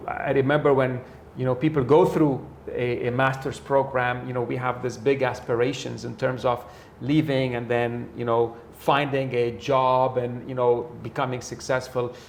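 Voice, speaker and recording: Man, interview host, gravelly, roomy echo, faint background hum. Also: mid-range voice, flat delivery, moderate pace, few pauses. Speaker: interview host and sales trainer. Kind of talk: conversational interview. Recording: echoey room, faint ambience.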